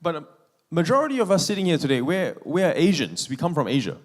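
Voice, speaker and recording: A man from Malaysia preaching a sermon in a church, speaking in short phrases and pausing between them for an interpreter. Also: a little high, sing-song. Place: church sanctuary.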